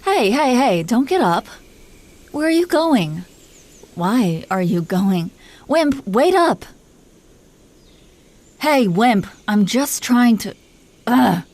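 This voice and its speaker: A woman's voice: on the high side, sing-song, slow pace, pauses often, darkish tone, heavily acted. A woman playing an imperious, possessive dragon queen who teases her husband with condescending affection in an audio roleplay. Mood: flustered calling. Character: haughty, teasing, possessive dragon queen and doting wife. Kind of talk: scripted roleplay monologue spoken to the listener.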